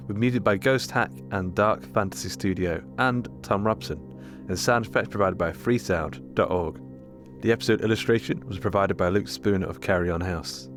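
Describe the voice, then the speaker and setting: A male announcer reads scripted closing credits for a podcast. His voice is on the low side. Music plays clearly behind him. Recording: music under the voice.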